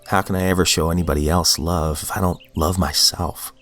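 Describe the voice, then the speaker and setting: A man's voice: low voice, steady tone, fairly quick, a bit bright, faint music behind. A man hosting a daily recovery reading podcast, reading passages aloud and then reflecting earnestly, sounding sniffly from a cold. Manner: earnest, reflective, with fillers and restarts.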